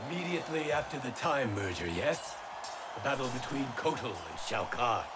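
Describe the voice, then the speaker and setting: An actor playing a solemn, cautious young guardian of time in a game cutscene, formal and thoughtful. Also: male voice, mid-range voice, big pitch swings, moderate pace, very noisy, lightly acted. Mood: inquiring. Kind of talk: video game cutscene acting. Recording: loud game music over the voice.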